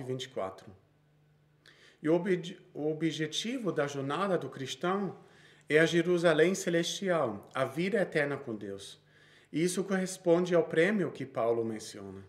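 A man in his thirties with a Brazilian Portuguese accent, preaching a sermon he reads from notes, with frequent small restarts. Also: a little high.

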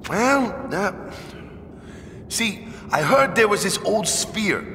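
A man's voice: sits high, lively intonation, takes it slowly, pushed and bright, in a large hall, far from the mic, a little hiss or hum, heavily acted. An actor playing a brawny young island man in a video game, hesitant and evasive, hemming and hawing colloquially.

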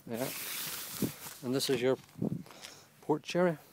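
Man, plain mid-pitched voice, matter-of-fact gear reviewer outdoors, handheld camera mic. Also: slow pace, very noisy. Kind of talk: casual product walkthrough. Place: outdoors on a lawn beside a small tent. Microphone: handheld camera held by the speaker.

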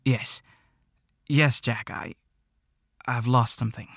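A man's voice: mid-range voice, steady tone, slow, vocal fry, a bit bright, no echo, clean studio sound, exaggerated voice. A man voicing an earnest, secretive older male shopkeeper with quaint phrasing, for an audiobook. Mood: flustered. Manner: quaint phrasing with tagged-on repeats.

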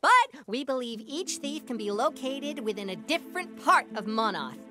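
A man voicing a small, anxious, theatrical creature who keeps magic books in an animated game. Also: very high voice, normal speed, soft background music, exaggerated voice. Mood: earnest. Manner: theatrical, flustered, grandiose. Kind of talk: animated game cutscene voice acting.

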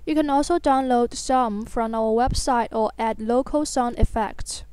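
A woman with a high voice narrates a scripted tutorial. Her tone is smooth and clean, captured very dry. She explains things step by step in an instructional way. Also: unhurried, darkish tone, no echo.

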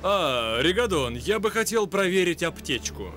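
A man voicing a composed, courteous gentleman lion leading a cartoon expedition, speaking in a formal, commanding way. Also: high voice, big pitch swings, moderate pace, soft background music. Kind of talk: dubbed cartoon acting.

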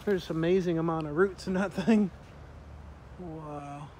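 Man, light high voice, casual rambling tour guide, outdoor phone recording with noisy background. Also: a little slow. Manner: rambling, pointing things out with many fillers. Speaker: vlogger filming a park walk. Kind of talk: casual walking tour narration. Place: outdoors in a sunny park. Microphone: handheld phone camera. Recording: outdoor phone audio with loud background noise.

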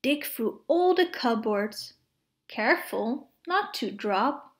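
A woman reading children's picture books aloud to preschoolers in an expressive, measured way. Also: high-pitched. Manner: expressive, measured phrasing with playful sound words. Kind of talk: storybook read-aloud for preschoolers.

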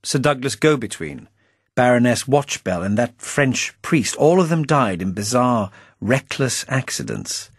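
A man's voice: medium pitch, big pitch swings, a little slow, breathy edge, no room sound, voice pushed up, exaggerated voice. A man voicing a debonair older secret agent in a spy story, weary and testy, with a dry, arch, probing manner. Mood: probing, stiff.

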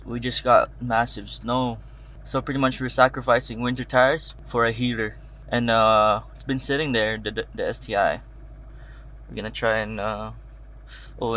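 A man's voice: mid-range voice, a bit bright, a little hiss or hum. A young man in his twenties giving a casual, laid-back vlog tour of an empty basement suite, filmed on a handheld camera at arm's length. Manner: laid-back, chatty, talks to the viewers. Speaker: vlogger.

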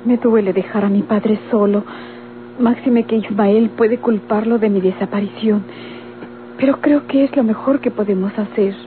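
A woman's voice: mid-range voice, fairly even intonation, moderate pace, lightly acted. A woman acting in an old Mexican radio drama as a devoted, sorrowful young daughter in love. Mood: sad, resigned. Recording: old tinny radio recording with hum.

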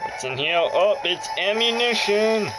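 Higher-voiced man over loud game music. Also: lively intonation, measured pace, low-quality recording. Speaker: gamer, Let's Play host. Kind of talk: casual off-the-cuff gameplay commentary. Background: loud music and game audio from a handheld console.

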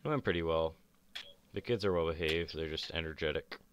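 A young man with a very deep voice, chatting casually and rambling while streaming a game. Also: brisk, muffled recording, noisy background. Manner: relaxed, rambling, laid-back. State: sore chest and ankle. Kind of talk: casual gaming chat. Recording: streamed voice chat, faint music behind.

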